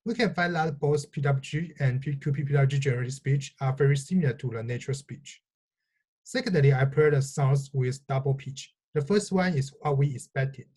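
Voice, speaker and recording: A man presenting research in a scripted, measured, explanatory technical talk. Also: medium pitch, darkish tone, slightly rough. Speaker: researcher presenting own work.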